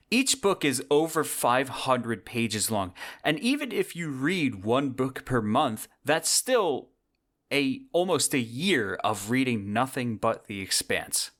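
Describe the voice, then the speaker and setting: Male podcast host, medium pitch, scripted yet conversational delivery, enthusiastic. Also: fairly bright tone. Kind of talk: scripted solo podcast commentary on science fiction books.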